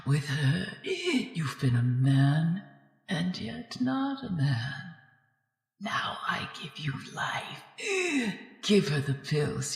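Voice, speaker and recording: Slow, deep undead ghost woman. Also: gravelly, full character voice. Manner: slow, theatrical, whispery, commanding and taunting. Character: menacing, bloodthirsty undead female ghost. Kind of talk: old-time radio horror drama acting. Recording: very echoey reverberant hall, music underneath.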